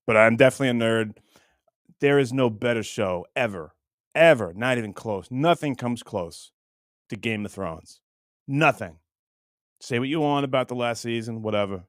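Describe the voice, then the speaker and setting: A man hosting a casual livestream, rambling and halting as he chats with viewers in a crude, jokey, profane way. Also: fairly low pitch, no echo, clean studio sound. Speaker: livestream host.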